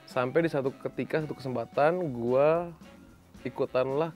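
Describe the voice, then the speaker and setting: A man with a Sundanese accent speaks as a guest in a casual interview, telling his story at an easy pace and slipping English phrases into his talk. His voice sits at an ordinary middle pitch for a man. Faint music runs quietly behind him. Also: normal speed. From West Java, Indonesia. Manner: relaxed, mixes English phrases, reflective storytelling. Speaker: guest actor.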